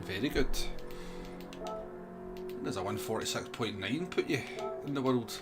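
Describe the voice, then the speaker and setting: Male game streamer, mid-pitched, casual and chatty, music clearly behind him. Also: very animated, unhurried. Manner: chatty, replying to viewers by name. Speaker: livestream host. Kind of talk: casual gaming stream chat.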